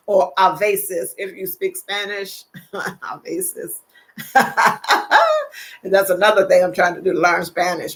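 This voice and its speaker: An older woman hosting a casual livestream, chatting with viewers, laughing often and repeating her phrases. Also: on the low side. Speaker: livestream host. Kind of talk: casual live chat reading viewer comments.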